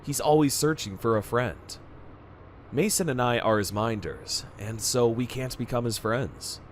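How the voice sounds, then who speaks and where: Male actor as solicitous, polite guardian of a prince, animated intonation, radio-play delivery, slight ambient noise. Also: mid-range voice, a little slow, lightly acted. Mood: solicitous, grave. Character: solicitous, formal minder devoted to his young prince. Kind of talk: radio-play acting in a fan dub.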